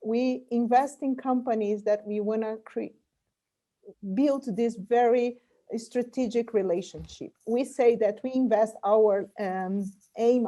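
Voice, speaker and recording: A woman's voice: a little high, warm tone. A middle-aged woman from Brazil, a Portuguese speaker, answering interview questions as a guest about her career and business. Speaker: guest, corporate venture investor.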